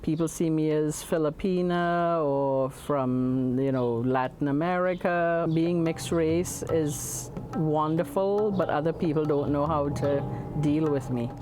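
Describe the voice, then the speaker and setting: Low-voiced woman. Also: measured pace, dark tone, soft background music. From Trinidad. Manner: candid, uneven pace. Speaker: documentary interviewee.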